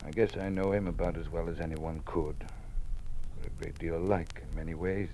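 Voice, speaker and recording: Low-voiced, weary old college dean. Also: male voice, steady tone, dark tone. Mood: weary, confiding. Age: older. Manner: measured, formal, fatherly. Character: weary, cautious old male college dean living in fear. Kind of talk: old-time radio drama acting. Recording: noisy vintage radio drama recording.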